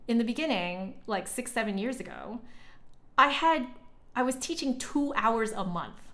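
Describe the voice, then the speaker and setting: Slow-paced woman chatting on a livestream. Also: medium pitch, a bit bright. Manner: candid, informal, conversational. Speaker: livestreamer and online flute teacher. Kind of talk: casual livestream chat with viewers.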